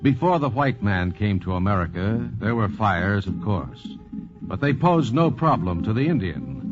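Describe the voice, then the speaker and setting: A man's voice: fairly low pitch, sing-song, normal speed. A man narrating a scripted 1940s radio program as host, with a dramatic, polished announcer delivery. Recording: dull 1940s radio transcription. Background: loud music.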